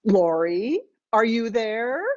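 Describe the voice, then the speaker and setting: A woman's voice: a little high, lively intonation, slow pace, dull audio, pitched above the performer's own voice. A woman narrator voicing a breezy, self-absorbed eighty-year-old mother with a theatrical, insistent manner in an audiobook story. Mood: needy, insistent.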